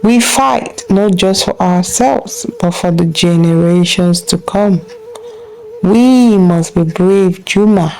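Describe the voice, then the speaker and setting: A woman voicing a brave, resolute warrior king in a folktale, speaking solemnly and deliberately. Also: lowish voice, darkish tone, faint music behind, low-quality recording.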